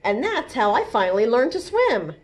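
A middle-aged woman hosting a children's storytime in an animated, expressive way, talking to young children. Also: fairly high pitch, normal speed. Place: indoors, small library children's room. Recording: noticeable background room noise.

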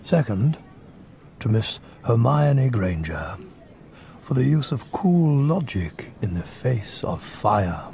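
An actor playing a wise, kindly old wizard headmaster, giving a ceremonial speech in a video game with stately, weighty pauses. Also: male voice, medium pitch, big pitch swings, vocal fry, darkish tone, slight background noise, exaggerated voice. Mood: musing, pleased.

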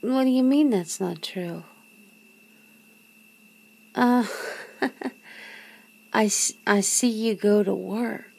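Girlfriend role for intimate ASMR roleplay, female actor: nervous, disbelieving, teasing, halting delivery, unhurried, with vocal fry. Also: mid-range voice, a little mellow. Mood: hesitant, confused. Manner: halting, breathy sighs and chuckles, often dropping to a whisper. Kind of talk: ASMR roleplay, one side of an intimate conversation.

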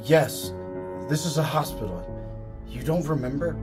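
A man's voice: on the high side, animated, measured pace, music in the background. An actor playing a nervous young male hospital nurse in an audio drama, hesitant and anxious. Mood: wary, uneasy. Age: young adult.

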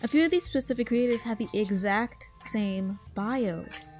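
A woman's voice: a little high, a little slow, a little mellow. Woman narrating a critical video essay, music behind.

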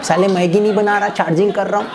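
A young man doing a stand-up comedy set on a small stage, telling an animated story and acting out other people's voices. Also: high voice, quick pace, vocal fry, a crowd in the background, noisy background. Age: young adult. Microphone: handheld mic. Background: audience laughter.

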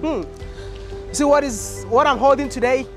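A young man from Ghana, a vlogger hosting an interview, talking in an excitable, playful way with a Ghanaian English accent, on a lapel mic. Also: very high voice, a little slow, faint music behind. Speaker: vlogger host. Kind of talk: energetic travel vlog interview. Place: industrial yard and factory, indoors and out.

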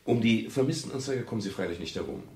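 An actor playing a wry, world-weary private detective in a 1970s German radio crime drama, speaking in a dry, measured and polite way. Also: male voice, lowish voice, animated, darkish tone, slightly rough, heavily acted. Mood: dryly ironic. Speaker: lead actor and first-person narrator. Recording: 1970s radio play with music.

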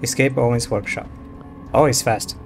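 A man's voice: medium pitch, steady tone, normal speed, gravelly. A man doing casual live gameplay commentary, muttering brief reactions to himself. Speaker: game streamer. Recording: game music behind voice.

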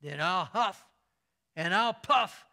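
A man voicing the big bad wolf in a storybook reading, making loud, drawn-out demands and threats. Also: high voice, expressive, creaky, exaggerated voice. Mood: blustering.

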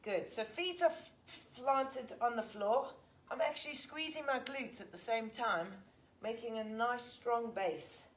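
A woman's voice: fairly high pitch, measured pace, low-quality recording. A woman in her forties with an English accent, leading a live workout and counting reps while she exercises. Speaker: fitness instructor. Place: large indoor gym. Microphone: fixed camera a few metres off.

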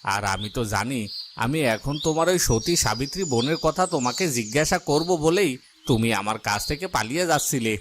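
A man's voice: medium pitch, lively intonation, a little fast, noisy background, natural voice. A man voicing the needling, suspicious husband of a palace gardener. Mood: taunting. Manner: taunting.

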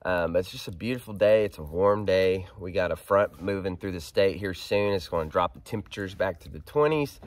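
Hoarse, froggy-voiced man fishing from a kayak. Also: sits low, fairly quick, few pauses, no room sound. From Arkansas. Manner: chatty and upbeat, excited while reeling in fish. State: hoarse, froggy after a head cold. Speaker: fishing vlogger. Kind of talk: casual outdoor vlog. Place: kayak on a creek outdoors. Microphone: action camera on a pole mount.

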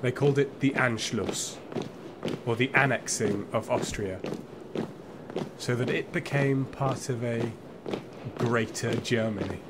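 A man's voice: mid-range voice, close to an everyday voice. An actor playing a nostalgic, proud and wry Austrian football fan, telling his story theatrically in an audio play. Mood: wry, defiant. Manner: theatrical, emphatic, with weighty pauses. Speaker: narrator. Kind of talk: dramatic first-person storytelling in an audio play. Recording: music and crowd noise behind.

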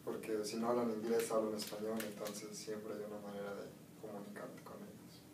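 A young man from Mexico giving relaxed, plain interview answers in a small indoor room. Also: lowish voice, normal speed, crowd noise. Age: young adult. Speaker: student interviewee. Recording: distant echoey room, clear noise.

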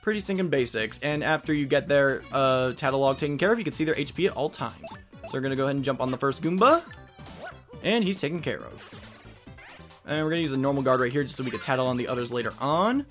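A man's voice: mid-range voice, lively intonation, fast talker, fairly bright tone. A man hosting a let's play, chatting and explaining as he commentates over gameplay. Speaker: let's play host and video game commentator. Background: video game music.

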